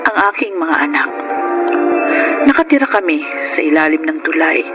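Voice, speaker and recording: A woman's voice: low-pitched, animated, normal speed, over loud music. A woman with a Filipino accent playing a poor, self-sacrificing mother in an emotive radio drama. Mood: sorrowful narrating. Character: poor, burn-scarred mother, tender, self-sacrificing and sorrowful.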